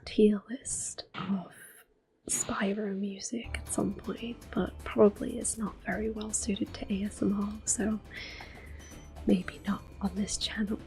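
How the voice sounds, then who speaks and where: A soft-spoken woman giving gentle ASMR gameplay commentary, often slipping into a whisper close to the microphone. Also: medium pitch, flat delivery, slow, creaky, poor audio. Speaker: host of a gameplay series. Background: soft game music.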